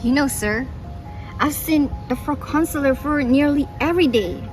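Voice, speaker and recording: A woman's voice: high voice, moderate pace, soft background music, lightly acted. A woman playing a chatty, deferential working man in a stage play, rambling hesitantly. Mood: earnest. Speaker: stage actor. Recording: noisy recording with background ambience.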